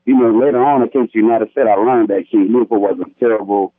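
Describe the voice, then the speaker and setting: A man's voice: on the low side, quick pace, no room sound. A young man from the Congo calling in by telephone, hesitant and repeating words as he puts a question. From Democratic Republic of the Congo. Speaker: caller. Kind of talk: conversational call-in question. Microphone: telephone call. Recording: tinny, dry phone line.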